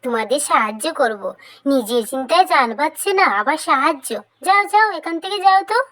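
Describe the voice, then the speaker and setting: A selfish cartoon rabbit, put on by a narrator, snaps in a high, bright voice. It talks fast and scoffs dismissively. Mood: scornful. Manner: scoffing, snappish. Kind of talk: cartoon voice acting.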